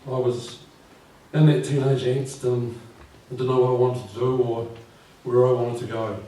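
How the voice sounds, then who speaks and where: A man in his fifties with a croaky voice, reading his poems at a live event and speaking gently and unhurriedly. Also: medium pitch, little pitch movement, moderate pace. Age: 50s. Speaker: poet. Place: live venue with audience. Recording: echoey room from far off.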